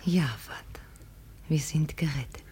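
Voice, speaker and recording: Husky, low-voiced devoted daughter. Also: female voice, fairly even intonation, a little slow, warm tone, exaggerated voice. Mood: tender, reassuring. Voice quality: husky, often whispery. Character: grieving, devoted daughter humouring her deluded father. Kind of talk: 1950 German radio drama acting.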